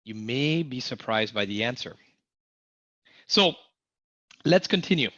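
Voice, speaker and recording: Energetic male online sales instructor. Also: mid-range voice, a little slow, no room sound, muffled recording. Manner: energetic, asks audience to type in the chat. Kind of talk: live online class teaching.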